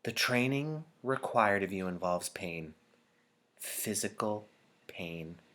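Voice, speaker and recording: Storybook chief elder, a grave, authoritative woman played by a man, low voice, formal measured address. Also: animated, a bit bright. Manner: formal, deliberate, ceremonial. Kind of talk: audiobook character dialogue.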